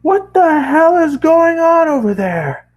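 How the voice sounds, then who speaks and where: Stern, exasperated older father for an audio story, pitched up and loud, acted by a man. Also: very animated, slow pace, highs cut off, full character voice. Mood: alarmed, angry.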